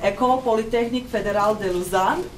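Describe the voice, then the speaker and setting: A woman's voice: medium pitch, fast talker. A young woman acting as moderator, reading out an introduction of the next speaker from her notes. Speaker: conference moderator. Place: large conference hall. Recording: echoey hall from far off, noisy.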